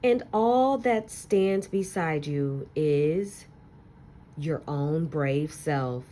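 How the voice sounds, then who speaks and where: Slow, low-voiced woman reading aloud. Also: a little hiss or hum. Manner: gentle, expressive storytelling with soft asides to listeners. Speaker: teacher reading aloud to young children. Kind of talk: children's picture book read-aloud.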